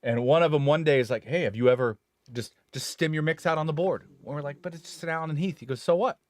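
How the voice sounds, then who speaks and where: A man's voice: on the high side, fast, no room sound. A man casually chatting about studio gear on a live stream, rambling and self-correcting as he talks. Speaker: studio engineer co-host.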